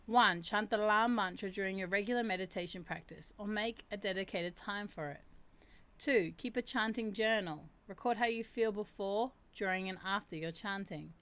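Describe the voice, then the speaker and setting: A woman with a naturally deep voice talks casually to her stream audience from a streaming mic. She chats freely and reads meditation steps aloud, stretching the chanted sounds out slowly. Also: fairly bright tone, clear, resonant tone. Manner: chatty, laughs and reacts to chat; slows down to chant drawn-out syllables. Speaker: livestream host. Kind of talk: casual chat mixed with reading aloud.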